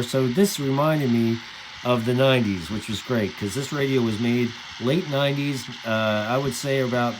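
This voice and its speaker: A middle-aged man talks like a hobbyist showing off his gear to fellow enthusiasts. His voice sits at an average pitch, and his delivery is informal and halting, in a conversational way. Clear background noise runs behind the voice. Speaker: radio hobbyist presenter. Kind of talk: casual hands-on gear demonstration.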